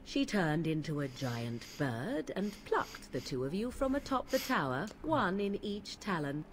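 A woman's voice: lowish voice, very animated, a little slow, slight background noise. An actress playing an aloof, sardonic young witch of the wilds in a fantasy game, speaking with haughty, archaic formality. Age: young adult. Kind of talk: fantasy video game acting.

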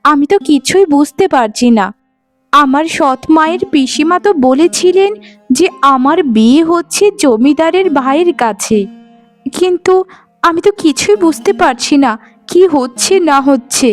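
A woman plays a devoted young bride in a cartoon folk tale. The voice is extremely high, earnest and plaintive, with soft music behind it. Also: animated, normal speed. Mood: puzzled, hurt. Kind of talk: cartoon storytelling dialogue.

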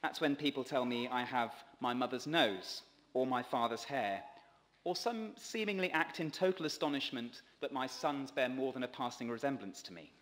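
A man's voice: medium pitch, normal speed, fairly bright tone. Male priest preaching in a reverberant church. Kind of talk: sermon read from a script. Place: large stone church, at a pulpit. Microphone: gooseneck lectern microphone.

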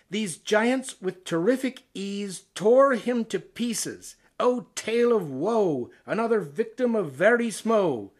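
A Canadian man, an actor, reading poetry aloud in a theatrical way with deliberate phrasing. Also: fairly high pitch. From Ontario, Canada.

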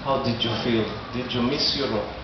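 A man's voice: mid-range voice, normal speed. A middle-aged man hosting a festival stage interview, asking formal, structured questions. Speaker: host. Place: large festival tent lounge with audience. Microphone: handheld mic. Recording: echoey, distant, dull with noise.

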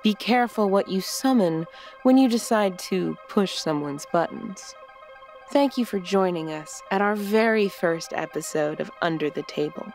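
A woman's voice: medium pitch, moderate pace, a little mellow, faint music behind. A woman host giving scripted dramatic narration of a story.

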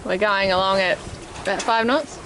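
Aussie woman in her 40s, relaxed, laughing vlog chatter on deck, wind noise faint behind. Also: medium pitch, moderate pace. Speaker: vlogger, sailing family mum. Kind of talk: casual travel vlog narration. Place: outdoors on a sailboat deck at sea. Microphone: handheld camera at arm's length.

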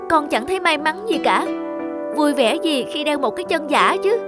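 A woman's voice: high-pitched, animated, normal speed, over loud music. A woman voicing an emotional, pleading young girl in a cartoon drama. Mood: angry, anguished. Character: distressed, bitter young girl who lost her leg.

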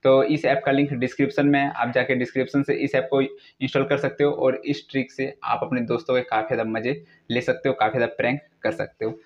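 A man's voice: mid-range voice, level tone, quick pace. A young man narrates a casual how-to tutorial, mixing English words into step-by-step instructions. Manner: repetitive, instructional phrasing with English words mixed in. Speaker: tutorial video host. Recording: dull lo-fi recording.

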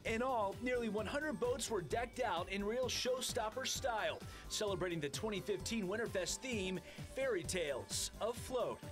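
High-pitched man narrating TV feature. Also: animated, moderate pace. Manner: upbeat, promotional. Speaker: field reporter. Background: music.

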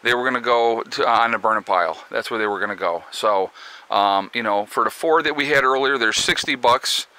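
A man's voice: medium pitch, brisk, pushed and bright, clear, resonant tone, rough recording. A man with a Northeastern American accent, walking around his homestead with a handheld camera and talking through a casual vlog, rambling with many fillers and restarts. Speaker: homesteading vlogger. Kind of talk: casual walk-and-talk vlog. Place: outdoors on a rural homestead.

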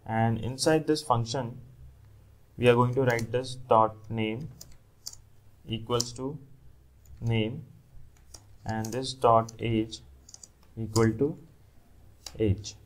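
A man's voice: mid-range voice, slow pace, pauses often, a little hiss or hum. A young man narrating a screen-recorded coding tutorial, explaining each step plainly and correcting himself now and then. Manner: steady step-by-step explaining with small self-corrections. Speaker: programming tutor.

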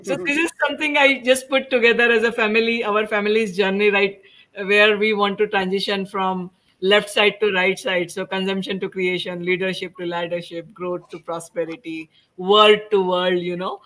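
A woman's voice: medium pitch, steady tone, normal speed, fairly bright tone, poor audio. A middle-aged woman informally hosting a panel discussion on a video call, putting questions to the panelists and prompting them. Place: indoors at home, on a video call.

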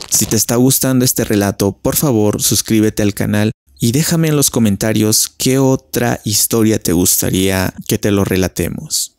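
A man's voice: fairly low pitch, moderate pace, darkish tone, no echo. A man narrating a scripted legend in a storytelling style.

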